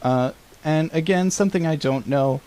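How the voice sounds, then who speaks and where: A man's voice: a little high, normal speed, warm tone, smooth. A man presenting an audio-production tutorial in an informal, matter-of-fact, slightly tentative way.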